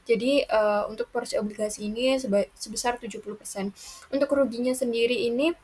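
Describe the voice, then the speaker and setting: A young woman giving a student lesson presentation in a halting way, with broken-off words and restarts. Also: on the high side, steady tone, darkish tone, husky. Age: young adult. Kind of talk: lesson presentation, working through accounting problems. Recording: noticeable background noise.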